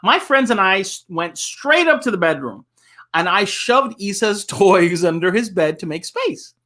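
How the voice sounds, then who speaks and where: A man's voice: sits high, very animated, unhurried, pushed and bright. A man reading a children's book aloud as a storyteller, playful and given to chuckling asides.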